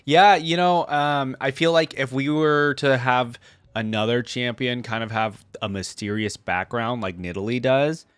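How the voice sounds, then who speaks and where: A man's voice: mid-range voice, measured pace, a bit bright, clear, resonant tone, dry recording. A man hosting a reaction video, giving casual, chatty, easily amused commentary on game voice lines.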